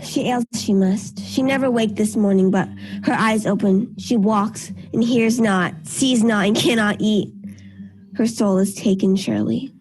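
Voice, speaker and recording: A woman's voice: mid-range voice, unhurried, a little mellow, breathy edge, faint music behind, rough recording. A woman acting a morbid, superstitious, grieving Puritan mother in a radio play, intense and ominous with archaic phrasing. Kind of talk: period stage drama acting, radio play.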